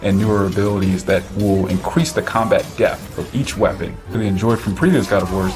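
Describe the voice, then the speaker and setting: A man's voice: fairly low pitch, fairly even intonation, brisk. A man speaking as a game developer in a promotional interview. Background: music bed.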